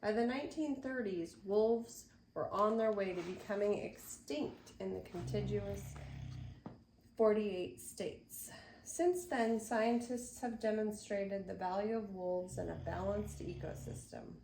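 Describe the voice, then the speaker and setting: An older woman reading a picture book aloud in an expressive, unhurried way, with chatty asides to young listeners. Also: medium pitch. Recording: roomy echo, faint background noise.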